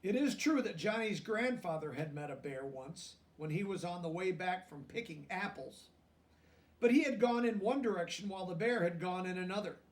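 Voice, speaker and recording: Male children's story reader, high register, explains as he goes, recorded a little way off the mic. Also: lively intonation, recorded from a distance. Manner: pauses to explain words and check understanding in plain language. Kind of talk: children's read-aloud with explanatory asides.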